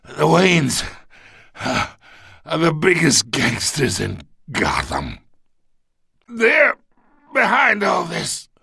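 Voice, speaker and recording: An actor playing an older, blustering crime boss in a video game, coarse and swaggering, with a gravelly voice. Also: male voice, on the high side, huge pitch swings, drawn-out words, long pauses, pushed and bright, no echo, clean studio sound, full character voice. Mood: grudging, strained.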